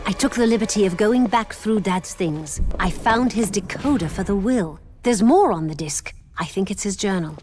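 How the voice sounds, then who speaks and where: A woman's voice: medium pitch, lively intonation, moderate pace, hardly any pauses, music in the background, lightly acted. A woman playing a crime lord's earnest, worried daughter in a video game, speaking seriously. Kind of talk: video game cutscene acting.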